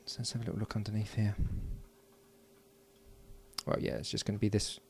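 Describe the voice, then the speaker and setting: Man, lowish voice, gravelly fry, casual unscripted hobbyist presenter, murmuring while working, faint noise. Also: fairly quick, a little mellow. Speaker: hobbyist electronics builder and presenter. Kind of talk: casual hands-on build commentary.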